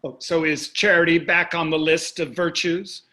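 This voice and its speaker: Casting: actor as cynical, self-satisfied wealthy husband in a stage drama, brushing things off with dismissive sarcasm. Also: male voice, a little high, little pitch movement, normal speed, pushed and bright. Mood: sarcastic. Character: wealthy, cynical, callous, golf-loving husband. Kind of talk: staged play reading, marital argument.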